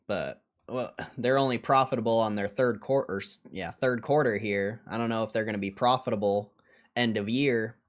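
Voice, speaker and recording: A man's voice: on the low side, normal speed. A man hosting a livestream in a casual, blunt and dismissive way, swearing now and then as he answers chat. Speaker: livestream host.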